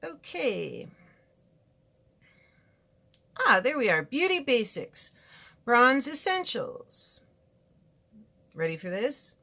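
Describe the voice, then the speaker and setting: A woman's voice: fairly high pitch, big pitch swings, takes it slowly, creaky, sharp tone. A woman from California talking frankly and rambling through a casual makeup review in her home studio. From California, USA. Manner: chatty, frank and rambling, with asides. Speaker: beauty vlogger reviewing makeup. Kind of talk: casual product review chat.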